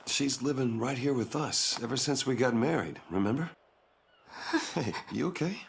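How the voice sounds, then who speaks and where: A man's voice: medium pitch, lively intonation, normal speed, gravelly. A man playing an easygoing, casual young husband with some concern in a naturalistic video game scene. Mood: amused, casual.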